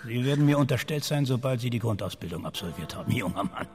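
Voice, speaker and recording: A man's voice: mid-range voice, lively intonation, fast talker, close to an everyday voice. A man playing a pompous senior intelligence officer in a German crime radio play, condescending and curt. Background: faint music.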